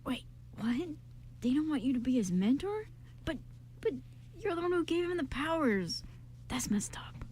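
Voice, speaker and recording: A woman's voice: high voice, big pitch swings, moderate pace, darkish tone. Woman as blunt, wary guy, slightly noisy recording. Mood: indignant. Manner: hesitant, slangy, blunt. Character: Quinn, blunt, wary, loyal male friend. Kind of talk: amateur radio play acting.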